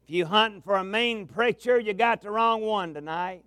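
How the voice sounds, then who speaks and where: A man with a Southern US accent preaching a revival sermon in a folksy, drawn-out cadence, with some gravel in his voice, speaking into a pulpit mic in a large tabernacle. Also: high voice, sharp tone, dry recording. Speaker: pastor, guest preacher. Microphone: amplified mic at a pulpit.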